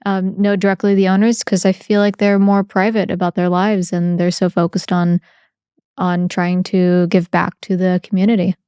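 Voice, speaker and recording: A woman co-hosts a podcast in a smooth, clear voice, upbeat and conversational. The recording is dry and close, with no room echo. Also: lowish voice, little pitch movement, moderate pace, a little mellow. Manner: upbeat, introduces guest. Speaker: podcast co-host. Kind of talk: casual interview chat.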